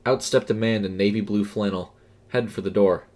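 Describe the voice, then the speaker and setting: A man reading a scripted horror story in a measured, suspenseful way. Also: on the low side, steady tone, a little mellow. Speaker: audio fiction narrator. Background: music.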